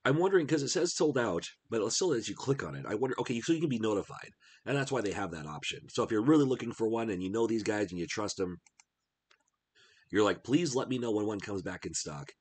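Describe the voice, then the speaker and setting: A middle-aged man talks to camera from his desk at home. His voice is husky and stuffed-up from a cold, and it sits low but swings widely. He muses aloud in a chatty, rambling way while browsing. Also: animated, fast. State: congested, voice shot from a cold. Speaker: retro game channel host. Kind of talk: casual screen-share commentary.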